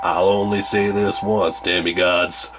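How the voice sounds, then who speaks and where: A man voicing a menacing middle-aged male rancher with a Southern accent and a slow, low drawl. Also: level tone, background noise. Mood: threatening.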